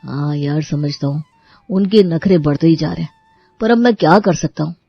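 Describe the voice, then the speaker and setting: A woman's voice: sits low, normal speed, gravelly, breathy edge, dull audio, faint music behind, full character voice. A woman voicing a dutiful, exasperated young brother in a cartoon story. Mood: earnest, resigned. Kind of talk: Hindi cartoon story voice acting.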